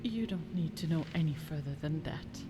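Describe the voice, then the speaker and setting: A woman's voice: low-pitched, moderate pace, background noise, natural voice. An actress playing a haughty, scheming fantasy noblewoman in a video game, with imperious, formal, disdainful diction. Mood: conspiratorial, threatening. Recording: music behind the voice.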